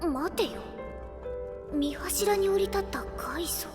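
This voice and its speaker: A woman's voice: very high-pitched, lively intonation, measured pace. A woman playing a bossy girl adventurer in an anime game who talks in haughty, old-fashioned elderly phrasing. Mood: pondering. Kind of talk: Japanese anime game voice acting. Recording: loud music behind the voice.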